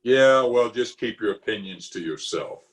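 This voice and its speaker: A man's voice: lowish voice, expressive, normal speed, roomy sound, background noise, natural voice. A man playing a pompous, easily exasperated middle-aged official in an old-time radio comedy, recorded at home over a video call. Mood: grumpy. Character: blustery, exasperated middle-aged male water commissioner, pompous and opinionated.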